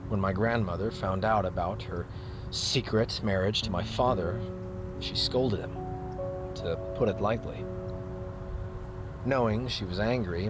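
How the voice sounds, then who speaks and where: A man's voice: lowish voice, close to an everyday voice. A man playing a grumpy, wounded bookstore manager, narrating his family memories in a wry, reflective way for an audio drama. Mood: wry, uneasy. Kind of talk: first-person dramatic narration.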